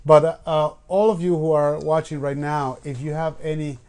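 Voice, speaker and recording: A man's voice: fairly high pitch, steady tone, moderate pace, warm tone. A man hosting a live-streamed interview with a musician, talking casually with many fillers and restarts. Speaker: host.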